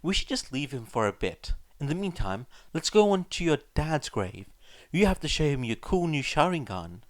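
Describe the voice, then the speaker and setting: A man's voice: a little high, big pitch swings, normal speed, no echo, voice pushed up. A man voicing a cheeky, chatty teenage ninja boy with a casual, breezy manner in a fan fiction reading.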